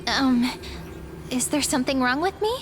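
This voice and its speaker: A woman voicing a gentle, pensive young girl companion in a video game, musing a little hesitantly. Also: sits high, lively intonation, moderate pace. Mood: puzzled. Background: game music.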